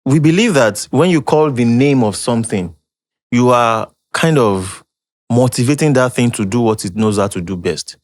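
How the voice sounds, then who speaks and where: A man's voice: mid-range voice, expressive, moderate pace, no room sound, studio quality. A man, a storyteller and historian explaining ideas at length in a podcast conversation, often with a laugh.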